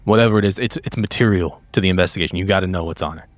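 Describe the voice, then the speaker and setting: Deep-voiced man at a game table. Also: racing, no room sound. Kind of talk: in-character improvised roleplay and casual game talk.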